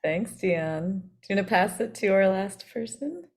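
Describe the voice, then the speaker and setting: A woman's voice: medium pitch, normal speed. A woman moderating a composers' panel on a video call, thanking speakers and passing the turn along.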